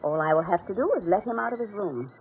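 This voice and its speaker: An actress playing a cold, scheming, jealous wife in theatrical, commanding old-time radio horror style. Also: female voice, lively intonation, fast, a little hiss or hum, natural voice. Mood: cold, menacing. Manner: theatrical, commanding, swinging from hushed menace to shrill. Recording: tinny old radio recording with music.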